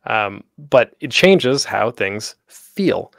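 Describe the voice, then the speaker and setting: A man's voice: lowish voice, big pitch swings, extremely slow, creaky, no room sound, studio quality. A man hosting an instructional talk in a casual, rambling way, often interrupting himself. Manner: informal, rambling, self-interrupting. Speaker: panel host teaching audio drama production. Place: close, dry home recording for an online panel.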